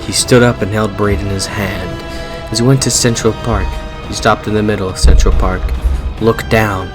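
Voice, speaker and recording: A man's voice: on the low side, steady tone, normal speed. A man giving a dramatic story reading, amused and stumbling over the text. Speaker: reader of fan fiction. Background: music.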